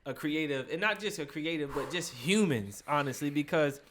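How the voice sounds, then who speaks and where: A young man hosting a podcast interview, talking in a relaxed, halting way with restarts. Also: a little high, slight background noise. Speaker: podcast host. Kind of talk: casual interview chat.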